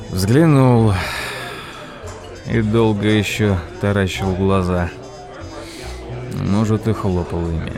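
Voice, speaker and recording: An actor playing a thoughtful, polite nephew who narrates an audio drama in a measured, wry way. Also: male voice, lowish voice. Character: thoughtful, polite nephew recounting an odd tale. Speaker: narrator and lead character.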